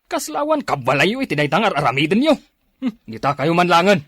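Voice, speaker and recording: Stern scolding Filipino father, swooping pitch. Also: very animated, a little fast, fairly bright tone. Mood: angry, scolding. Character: stern, short-tempered older father and husband. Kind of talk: Filipino radio drama acting.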